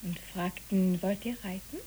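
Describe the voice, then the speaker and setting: A woman's voice: medium pitch, a little slow, mellow, a little hiss or hum, natural voice, low-quality recording. A woman playing a dreamy, imaginative child in a poetic radio play, speaking earnestly and lyrically. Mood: wistful.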